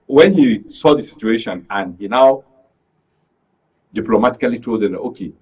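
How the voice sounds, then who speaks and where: A Nigerian man gives political analysis as a radio studio guest. His voice is husky and swings widely in pitch as he makes points emphatically and deliberately. The sound is slightly dull. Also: animated, a little mellow.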